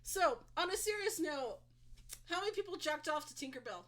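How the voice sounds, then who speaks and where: A woman's voice: high voice, animated, normal speed, fairly bright tone. A woman co-hosting a casual podcast chat, talking in a rambling, blunt way and laughing as she goes. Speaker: co-host presenting the topic.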